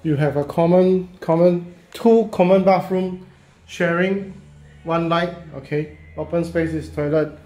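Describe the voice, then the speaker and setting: Man, slow unhurried delivery, fairly high voice, hesitant phrasing, filmed on a handheld phone. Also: fairly even intonation, dark tone. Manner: halting, repetitive phrasing with many fillers. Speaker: real estate agent. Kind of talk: casual property walkthrough. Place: walking through a house and yard, in and out of doors.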